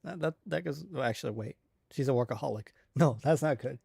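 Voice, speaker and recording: A man's voice: mid-range voice, a little fast, vocal fry, warm tone, slightly rough. A man livestreaming a game with casual, slangy, rambling commentary from a small bedroom. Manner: informal, slangy, rambling asides. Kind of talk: casual gameplay commentary, reading dialogue aloud. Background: game music.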